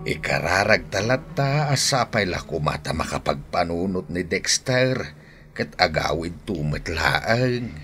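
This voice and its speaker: A man's voice: deep voice, animated, a little slow, a bit bright, faint music behind, heavily acted. A man playing a prayerful, concerned elderly father in a radio drama, with an Ilocano accent. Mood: pious, hopeful.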